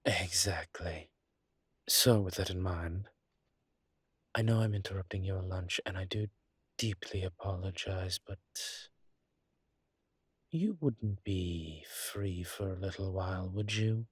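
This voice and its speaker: Man playing a deep, husky, courteous vampire. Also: takes it slowly, long pauses, no room sound, studio quality, heavily acted. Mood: courteous, coaxing. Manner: polite, formal, hesitant phrasing. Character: courteous, wry adult male vampire wary of daylight. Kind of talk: one-sided audio roleplay acting.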